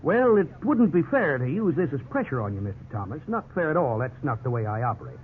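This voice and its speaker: A slick real estate salesman in a 1950s radio drama. His pitch swings widely as he pushes with false sincerity. The old recording is thin, muffled and noisy. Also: big pitch swings, fast talker. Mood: oily, persuasive. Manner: smooth sales patter with feigned hesitation.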